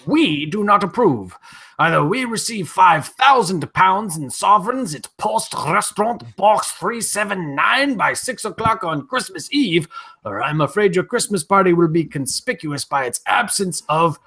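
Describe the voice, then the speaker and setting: A man playing a flustered, dim-witted lord in comic old-time radio style, raspy, fussy and bumbling. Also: high voice, expressive, unhurried, bright, forceful tone, exaggerated voice. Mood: anxious, fussy. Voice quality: raspy, creaky, strained. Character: flustered, dim-witted, posh English lord, Holmes's client.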